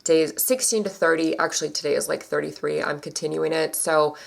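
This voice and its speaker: Young woman vlogging, low gravelly voice, kinda scratchy from allergies, chatty w lots of likes and ums. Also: brisk. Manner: chatty, many fillers, animated pitch jumps. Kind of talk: casual chat to camera.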